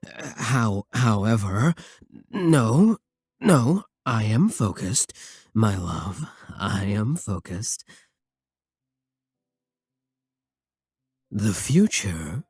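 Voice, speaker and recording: Man voicing a slow, husky, devoted vampire king. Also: on the low side, very animated, dry recording, clean studio sound, full character voice. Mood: tender, reassuring. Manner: measured, formal, gently intimate. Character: devoted, courtly vampire king, tender and formal. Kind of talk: romantic ASMR roleplay.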